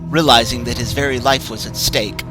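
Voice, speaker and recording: A man giving a measured, suspenseful dramatic reading of a horror story. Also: mid-range voice, steady tone. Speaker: narrator. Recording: clear music bed under the voice.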